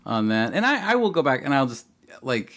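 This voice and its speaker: A man co-hosting a podcast in casual discussion, haltingly restarting and repeating himself as he makes his points. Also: medium pitch, very animated, a bit bright. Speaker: podcast co-host.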